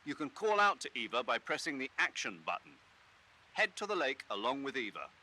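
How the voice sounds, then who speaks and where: An actor playing a commanding military officer in a video game, briefing with authoritative, instructive delivery. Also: male voice, mid-range voice, very animated, a little fast, bright, forceful tone, a little hiss or hum.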